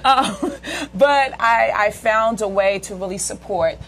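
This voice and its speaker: A woman's voice: mid-range voice, measured pace, crowd noise. A woman in her forties, a guest therapist, explaining things in an interview and checking in with "right?" and "okay?". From United States. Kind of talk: interview talk.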